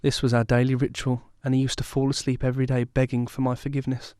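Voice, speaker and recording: Solemn male survivor, mid-pitched acted out. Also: completely flat delivery, darkish tone, no echo, studio quality, close to an everyday voice. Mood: solemn, haunted. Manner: solemn, measured. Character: male survivor soberly recounting traumatic youth in a massacre. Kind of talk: scripted first-person drama narration.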